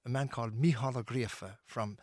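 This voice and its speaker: A man's voice: mid-range voice, steady tone, brisk, darkish tone, no room sound, clean studio sound. A middle-aged Irish man, a musician being interviewed, talking earnestly and emphatically with many restarts and fillers. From Ireland.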